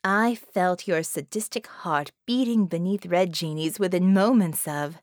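Woman playing a dry, cool captive woman, lowish. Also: unhurried, dry recording, lightly acted. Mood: cool, disdainful. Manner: dry, controlled.

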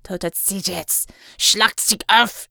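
A woman voicing a theatrical, menacing male German supervillain in an audiobook, with mocking, drawn-out lines and a German accent. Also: medium pitch, fairly even intonation, vocal fry, bright, forceful tone, no echo, clean studio sound, full character voice. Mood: disgusted commanding.

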